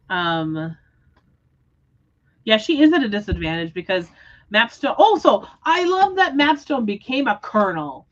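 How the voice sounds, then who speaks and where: Animated woman chatting, slightly dull audio. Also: medium pitch, slow, long pauses. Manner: animated, expressive. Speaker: host of book readalong livestream. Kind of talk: casual chat, book discussion.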